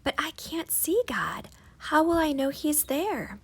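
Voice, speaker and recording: A woman voicing a timid little boy who is afraid of the dark, in a small, breathy, hesitant voice for a storybook. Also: high-pitched, big pitch swings, measured pace, pitched above the performer's own voice, heavily acted. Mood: wondering.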